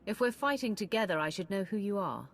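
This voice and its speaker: A woman's voice: mid-range voice, expressive, fast talker. A woman playing a stern female warrior commander who speaks firmly and directly.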